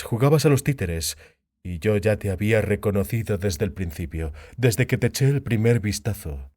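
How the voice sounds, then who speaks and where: A man's voice: fairly low pitch, warm tone. A man voicing a venomous, mocking half-elf thug in a dramatized fantasy audiobook. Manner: menacing, mocking.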